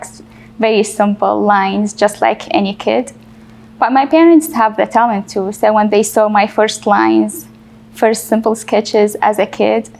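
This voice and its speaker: A young woman from Iraq talks as an interview guest, her voice carrying a noticeable gravelly fry. She answers earnestly, in simple and direct phrasing. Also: mid-range voice, a little mellow.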